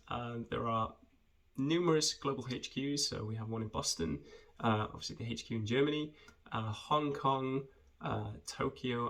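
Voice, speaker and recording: A man, talking in a friendly, conversational way with hesitant pauses as he introduces himself and his work for students. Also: medium pitch, a bit bright. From rural southwest Wales. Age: 20s. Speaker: junior footwear developer. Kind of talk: informal self-introduction video for students.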